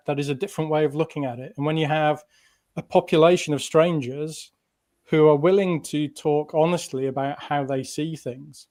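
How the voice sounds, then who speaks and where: A male guest thoughtfully explaining an idea, speaking haltingly and guiding listeners through a small exercise. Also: fairly high pitch, darkish tone. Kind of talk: casual explanation.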